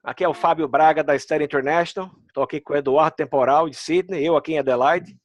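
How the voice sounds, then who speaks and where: A man's voice: a little high, fast, no room sound. A man with a Pernambuco Brazilian accent hosting a casual video-call chat, talking chattily and straight to the viewers. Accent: Pernambuco Brazilian Portuguese. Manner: lively, chatty, addresses the viewers directly. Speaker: host.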